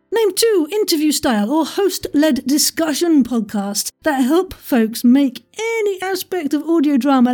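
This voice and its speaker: A woman's voice: sits high, dark tone. A woman hosting an informal quiz podcast, chatty and jokey, rambling off into asides and interrupting herself. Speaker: podcast host and quizmaster.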